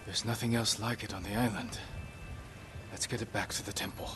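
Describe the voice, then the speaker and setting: Samurai lord male actor, medieval Japan video game: low, restrained, grave yet compassionate, few words, music underneath. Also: fairly even intonation, fairly quick, natural voice. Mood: resolute. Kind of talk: dramatic video game voice acting. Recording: music and rain behind the voice.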